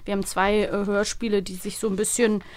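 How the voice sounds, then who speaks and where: A woman hosting a podcast in playful, casual banter with crisp articulation. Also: mid-range voice, flat delivery, quick pace. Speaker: podcast host, professional voice speaker.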